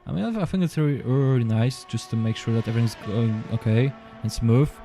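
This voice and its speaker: A man's voice: mid-range voice, warm tone, soft background music. Polish-accented man commentating sim racing. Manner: halting, repeats words, apologetic. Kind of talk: live esports race commentary.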